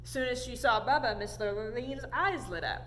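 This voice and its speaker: A woman reads a children's storybook aloud. Her voice sits fairly high and moves around with lots of expression. The room rings like a hall, with some noise behind her. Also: lively intonation, normal speed, a bit bright. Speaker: story time reader. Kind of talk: animated storybook read-aloud. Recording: echoey hall, audible background noise.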